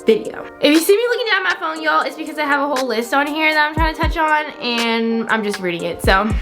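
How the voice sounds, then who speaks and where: Young woman, early twenties, higher-pitched voice, casual vlog chat, upbeat and encouraging, faint music behind. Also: expressive, fast. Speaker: vlogger hosting own channel.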